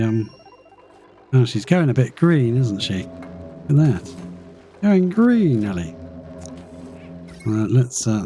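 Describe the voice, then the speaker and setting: A man casually commenting on his live gameplay, chatty and thinking aloud as he plays, his voice slightly croaky after being ill. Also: on the low side, mellow. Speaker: live streamer.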